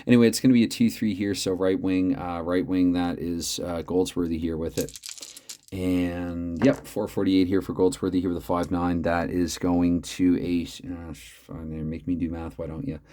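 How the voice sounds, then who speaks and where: A man hosting a tabletop hockey replay at home, mixing mock play-by-play with chatty, thinking-aloud dice math in a loud voice he keeps reined in. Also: sits low, flat delivery, moderate pace. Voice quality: loud voice, holding back. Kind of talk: mock play-by-play commentary between dice rolls.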